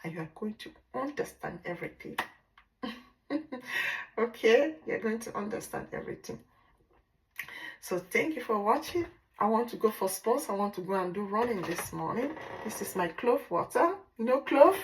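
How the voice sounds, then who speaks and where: A Nigerian woman whose first language is Igbo, speaking English with a Nigerian accent as a language teacher in a casual vlog, drilling words slowly and repeating them. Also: a little high, expressive, poor audio. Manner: repetitive drilling, slow deliberate pronunciation.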